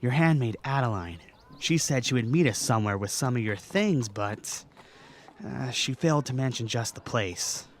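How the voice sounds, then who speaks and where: A man's voice: medium pitch, expressive, moderate pace, a little hiss or hum, natural voice. A man playing a modest, playful young knight with a casual, hesitant delivery in a fan audio drama. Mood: playful, bashful.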